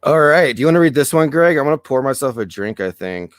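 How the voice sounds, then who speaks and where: A man co-hosting a casual political podcast who reads news items aloud, keeps clearing his throat and gives blunt opinions, and whose voice is going hoarse. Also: mid-range voice, lively intonation, fast, no echo, clean studio sound. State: losing his voice. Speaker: podcast co-host.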